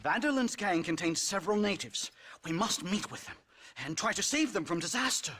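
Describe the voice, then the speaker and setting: High-pitched, stammering eccentric professor. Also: male voice, animated, fairly quick, bright, forceful tone, husky, exaggerated voice. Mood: self-satisfied. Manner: stammering, pompous, flighty, condescending. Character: eccentric pompous academic professor, excitable and twitchy. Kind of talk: theatrical video game acting.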